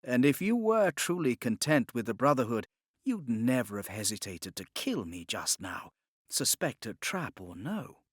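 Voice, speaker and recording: A man voicing a smug, unruffled gentleman agent of a secret order in an audiobook, with a polished, formal, unhurried manner. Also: medium pitch, sing-song, no room sound, studio quality. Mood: persuasive.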